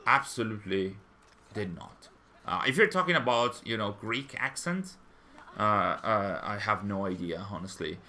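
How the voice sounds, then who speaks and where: Stream host, male, lowish voice with a bright, forceful edge, rambling and hesitant. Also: expressive, a little slow. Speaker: fan channel stream host. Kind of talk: live stream chat, reading viewer comments.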